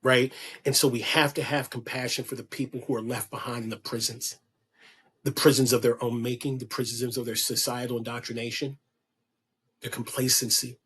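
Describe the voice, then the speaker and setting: A man's voice: medium pitch, level tone, normal speed, fairly bright tone, husky. A man speaking as a podcast guest, giving an impassioned, preachy monologue that repeats key lines for emphasis. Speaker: guest on a podcast, kink educator.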